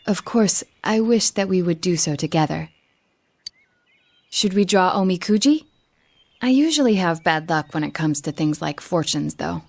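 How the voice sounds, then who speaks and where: A woman playing a reserved, thoughtful young detective girl in a video game, speaking in a measured, polite, slightly hesitant way. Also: on the low side, expressive, creaky, a little mellow. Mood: earnest, gentle. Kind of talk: video game dialogue acting.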